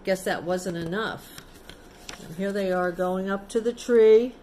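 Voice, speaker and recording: A woman reads a children's picture book at a very slow pace, with a mid-pitched voice. She breaks off for casual, simple explanations aimed at young listeners. There is faint noise behind her. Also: dark tone. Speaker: children's storyteller, teacher.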